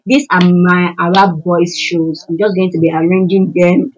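A woman with a slightly husky, breathy voice talks viewers through her housework. The sound is dull and lo-fi, as if from a phone camera set on the floor of a tiled home. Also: fairly low pitch, level tone, normal speed. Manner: chatty, talks viewers through each task while working. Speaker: housemaid filming her cleaning routine. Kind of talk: casual vlog narration to viewers. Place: tiled rooms of a large home.

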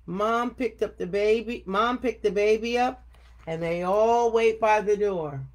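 An older woman reading a children's picture book aloud expressively, with deliberate, emphatic phrasing. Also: mid-range voice. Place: home office room indoors. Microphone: webcam at desk distance.

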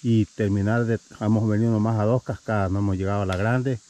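A man's voice: lowish voice, flat delivery, quick pace, warm tone, a little hiss or hum. A man in his thirties filming a family hiking vlog, chatting to viewers as he walks and climbs a wooded trail, a little out of breath. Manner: chatty, addresses viewers as "mi gente". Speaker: family vlogger. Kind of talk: casual hiking vlog narration. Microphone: action camera held at arm's length.

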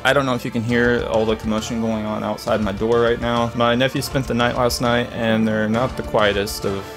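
A man's voice: medium pitch, little pitch movement, fairly quick. A young man chatting casually as a music reaction host, a little congested from allergies and talking with eager enthusiasm in a small bedroom studio. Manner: chatty, enthusiastic, apologetic asides. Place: small bedroom studio with poster-covered wall. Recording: loud rock music under the voice. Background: rock song playing.

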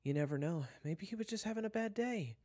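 A man's voice: high voice, animated, brisk, darkish tone, pitched above the performer's own voice. A man voicing a sensible, exasperated schoolgirl who chides and protests in a narrated story. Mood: reasoning. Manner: chiding, protesting.

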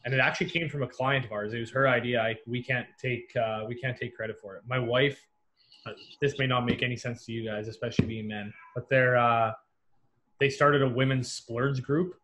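A young American man talks as a guest on a builders' panel. His voice sits at an average pitch, and he explains things casually over a video call from a small office. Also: a bit bright, a little hiss or hum. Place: small office with whiteboard wall. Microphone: computer mic on video call.

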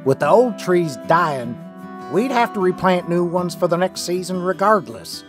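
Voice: medium pitch, animated, unhurried, over music, close to an everyday voice. Someone voicing a blunt folksy pony sheriff. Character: tough, practical male pony sheriff.

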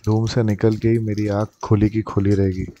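A man in his thirties narrating a travel vlog, slow and amazed, mixing Hindi and English. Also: fairly low pitch, level tone, warm tone. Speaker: travel vlogger. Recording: dull lo-fi sound with faint noise.

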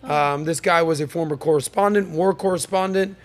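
A man's voice: on the high side, fairly quick. A man hosting a podcast panel, upbeat and joking, with plenty of fillers and restarts. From United States. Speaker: podcast host. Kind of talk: casual panel talk show hosting.

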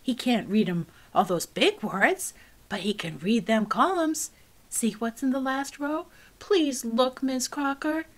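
A woman voicing a bold nine-year-old girl who pleads urgently in a high voice. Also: animated, a little slow. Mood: pleading.

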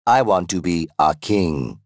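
A video game artificial intelligence with a low voice in a dry recording. It speaks in a measured deadpan, like a naive child with the pompous menace of a would-be god. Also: male voice, dry recording. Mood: smug, grandiose. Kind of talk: scripted video game acting.

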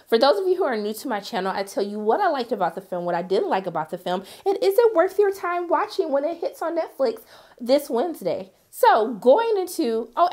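A young woman reviewing a film in a sassy, animated way, her voice strained from being sick. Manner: sassy, animated, jumps high for quoted asides. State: sick, voice partly lost. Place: indoor studio, graphic backdrop.

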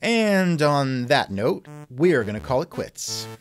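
A man's voice: medium pitch, big pitch swings, slow. A man hosting a scripted podcast interview with a dry, sardonic manner, close on the microphone.

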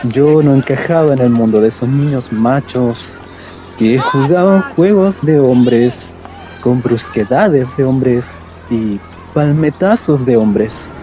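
A man's voice: mid-range voice, slow, lightly acted. A man playing a wistful Chilean narrator recalling boyhood, in scripted radio drama narration.

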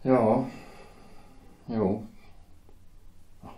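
A man's voice: mid-range voice, fairly even intonation, dark tone, lightly acted. An actor playing a hesitant young male shipyard worker who stammers deferentially, in an audio drama. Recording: roomy echo.

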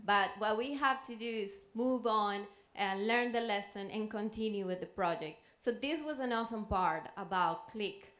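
A woman's voice: mid-range voice, moderate pace, few pauses, a bit bright. A young woman from Colombia, speaking earnestly with fillers on a conference panel into a handheld mic. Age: young adult. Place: conference room with audience.

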